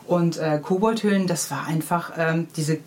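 A woman's voice: low voice, moderate pace, darkish tone, a little hiss or hum. A middle-aged woman, telling anecdotes reflectively as an author being interviewed. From Hamburg, Germany. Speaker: guest, children's book author. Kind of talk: conversational interview storytelling.

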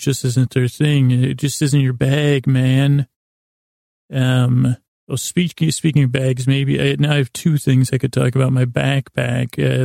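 A man hosting a bedtime podcast in a gravelly voice, rambling and meandering with halting repeats as he tries to lull listeners. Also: mid-range voice, darkish tone, dry recording, clean studio sound. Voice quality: gravelly, creaky. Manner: meandering, halting, repeating himself, soothing intent. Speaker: podcast host.

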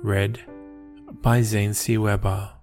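Aussie guy reading poems really slow, lowish voice, music playing behind him. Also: level tone. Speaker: poetry podcast host and reader. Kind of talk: poetry recitation.

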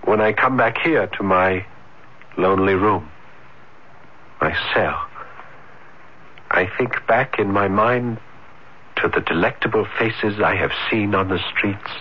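An actor playing an anguished, lonely, shy scholar in revolutionary Paris, halting and melodramatic, in an old-time radio drama. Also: male voice, on the low side. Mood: dreamy longing. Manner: halting, repeating himself, melodramatic. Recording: dull, noisy 1970s radio recording.

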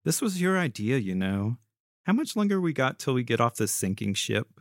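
A man's voice: mid-range voice, animated, brisk, no room sound, clean studio sound, lightly acted. A man voicing a sassy, impatient young woman in an audio story, with sarcastic, casual delivery.

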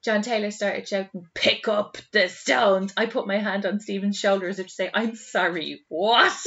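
An Irish woman co-hosting a podcast in casual chat, telling things with quick acted-out asides. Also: mid-range voice, fairly even intonation, a bit bright. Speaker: podcast co-host. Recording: dull lo-fi recording.

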